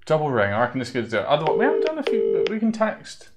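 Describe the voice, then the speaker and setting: A man's voice: a little high, huge pitch swings, racing, heavy background noise. A middle-aged British man co-hosting a live prize draw with casual, jokey chat. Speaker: competition live-draw co-host. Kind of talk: casual chatty banter. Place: indoor studio.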